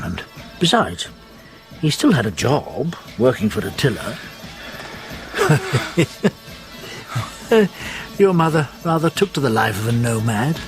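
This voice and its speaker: An actor playing a wry storyteller recounting family history in a radio drama, measured and amused over music. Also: male voice, on the low side. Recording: music bed under narration.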